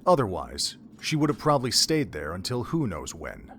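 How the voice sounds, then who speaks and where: A man's voice: lowish voice, wildly swooping pitch, moderate pace, slight background noise. Male narrator of a dramatic story.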